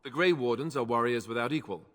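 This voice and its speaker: A man voicing an earnest, self-deprecating young knightly warrior in a video game, explaining things in a measured way. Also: mid-range voice, fairly bright tone, in a large hall, lightly acted.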